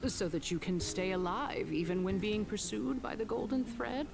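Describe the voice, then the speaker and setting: An actress playing a calculating, courteous female council elder in a fantasy game, speaking formally and with measured theatricality. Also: mid-range voice, vocal fry, close to an everyday voice. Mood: smooth, calculating. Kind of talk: scripted fantasy game dialogue. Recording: game dialogue under background music.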